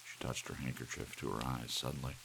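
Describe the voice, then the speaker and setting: Extremely deep, gravelly male ASMR narrator. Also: fairly even intonation, fast talker. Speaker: audiobook narrator. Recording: rain and hiss behind. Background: soft rain.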